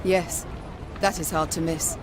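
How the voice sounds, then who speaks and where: A woman's voice: low-pitched, fairly even intonation, a little slow, noisy background. A woman playing a proud, blunt warrior queen in a video game, stern and commanding. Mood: grave, commanding. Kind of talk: dramatic video game acting.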